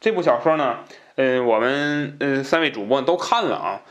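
A man's voice: mid-range voice, lively intonation, moderate pace. A man hosts a podcast, chatting casually about books and explaining points conversationally, often repeating himself for emphasis. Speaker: podcast host. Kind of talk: casual book discussion.

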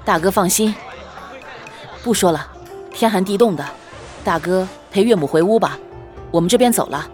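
A woman playing a calm, tender young husband and dutiful son in a Chinese costume audio drama, speaking politely and with composure. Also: mid-range voice, moderate pace, long pauses, faint music behind, natural voice. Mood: courteous, composed.

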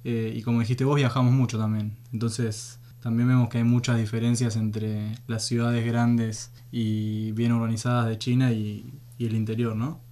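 A man from Buenos Aires with an Argentine accent, a journalist guest answering radio interview questions in a relaxed way, thinking aloud. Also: mid-range voice, moderate pace, hardly any pauses.

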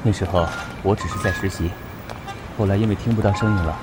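Man acting low-voiced, composed young lecturer, music underneath. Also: lightly acted. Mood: composed, reflective. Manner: calm, measured, polite. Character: soft-spoken young male law lecturer, courteous, composed, caring. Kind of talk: Mandarin audio drama acting.

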